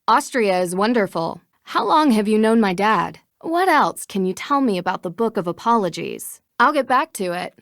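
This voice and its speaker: A woman's voice: medium pitch, very animated, normal speed, vocal fry, few pauses, fairly bright tone, no room sound. A young woman's mid-range voice in a clean, dry studio sound, as an amateur sleuth in an adventure game. She is curious and self-assured, with a dry, questioning delivery. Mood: inquisitive. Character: curious, confident young female amateur detective. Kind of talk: video game dialogue.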